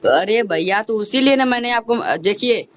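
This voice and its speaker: A man's voice: high-pitched, expressive, normal speed, a bit bright. A man with a Bhojpuri accent in an argumentative phone call, insistent and repetitive, talking over the other person. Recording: noisy recorded phone call.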